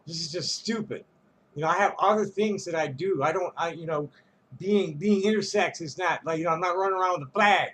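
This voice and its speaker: A man's voice: a little high, a little fast, fairly bright tone. A man ranting to camera in a casual vlog, rambling and profane, stumbling over restarts and chuckling between phrases. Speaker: vlogger.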